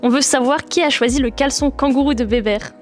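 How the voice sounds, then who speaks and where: A woman reading viewer questions aloud in a brisk, matter-of-fact way. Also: sits high, faint music behind.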